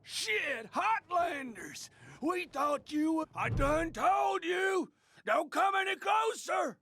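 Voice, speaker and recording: An actor playing a crazed, hostile gang thug in a video game, shouting in an unhinged way. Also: male voice, very high-pitched, expressive, slow pace, noisy background, heavily acted. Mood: alarmed, threatening. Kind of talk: video game combat barks.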